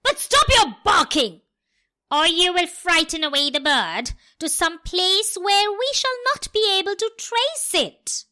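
Woman voicing a bossy, sharp-tongued cat. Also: very high voice, big pitch swings, a little slow, pitched above the performer's own voice, exaggerated voice. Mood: bossy urgent.

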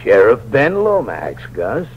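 An actor playing a blunt county sheriff in a 1940s radio drama, dry and deliberate, talking like an older hand to a younger man. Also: medium pitch, wildly swooping pitch, breathy edge, heavily acted. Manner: deliberate, dry, fatherly address. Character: slow, unhurried, dryly blunt male county sheriff. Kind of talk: 1940s radio crime acting. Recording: muffled old radio, faint hum.

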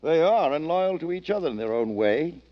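An actor playing a gruff but indulgent army colonel in a 1940s radio drama. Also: male voice, fairly high pitch, lively intonation, brisk, close to an everyday voice. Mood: exasperated, fond. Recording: dull old radio broadcast.

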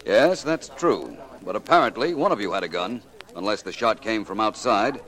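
A man acting a brisk, courteous private detective in a 1940s radio crime drama, with an American accent and an authoritative manner. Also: on the low side, lively intonation, a bit bright. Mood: composed, probing. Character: brisk, commanding male private detective taking charge. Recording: old 1940s radio recording.

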